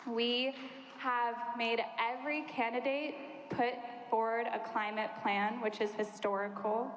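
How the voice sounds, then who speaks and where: A woman's voice: fairly high pitch, steady tone, measured pace. A young woman, a youth climate organizer, giving earnest prepared remarks to a panel. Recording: echoey hall with long reverb.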